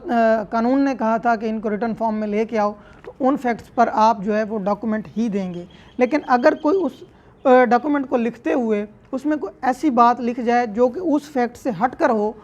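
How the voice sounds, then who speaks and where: A woman's voice: fairly high pitch, darkish tone. A woman with a Pakistani accent giving a methodical, explanatory law lecture, going through clauses step by step.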